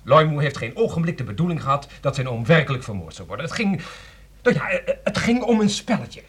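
An actor playing an educated man who anxiously defends his friend, hesitant but persuasive, with a husky voice, in a radio drama. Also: fairly high pitch, very animated, fairly quick. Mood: explaining. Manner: hesitant, explanatory, pleading. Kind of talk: Dutch radio drama acting.

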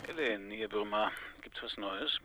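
A man's voice: lowish voice, a little slow, sharp tone, rough recording. An actor playing a world-weary private detective in a German crime radio drama, dry and laconic as he talks and narrates. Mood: casual. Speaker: narrator and lead.

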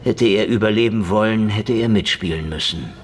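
An actor playing a cynical, world-weary Russian ex-detective in a noir radio drama, with a wry, mocking delivery. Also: male voice, lowish voice, normal speed, slight background noise, close to an everyday voice. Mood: grim irony. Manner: sardonic, wry, theatrical delivery.

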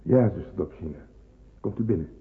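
An actor playing a grave, knowing porter in a Dutch radio play, terse and commanding, with a gravelly, husky voice. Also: male voice, sits low, lively intonation, a little fast, a little hiss or hum. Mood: grave, insistent. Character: male porter, grave, knowing, authoritative secret helper. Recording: muffled tinny old radio recording.

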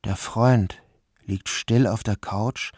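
An actor narrating as a guilt-ridden young man in a literary radio play, in a subdued, measured way. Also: on the low side, fairly even intonation, darkish tone.

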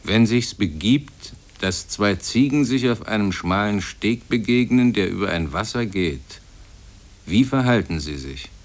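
Solemn, declamatory reciter, very low register, 1950s radio drama. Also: male voice, lively intonation, measured pace. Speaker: actor reading quotations.

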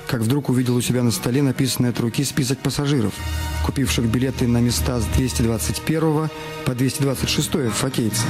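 A man reading scripted, dramatised narration for a detective audio drama. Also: medium pitch. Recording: music behind the voice. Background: music.

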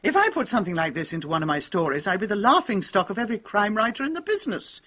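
Radio drama crime novelist: middle-aged woman, emphatic, opinionated, lively intonation, slightly husky. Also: mid-range voice, fast. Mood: incredulous, certain. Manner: emphatic, self-assured.

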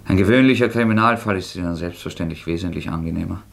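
An actor playing a proud, bitter young student murderer in a radio play, with an intense, sardonic, defiant delivery. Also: male voice, sits low, fast, creaky. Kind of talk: German radio play acting.

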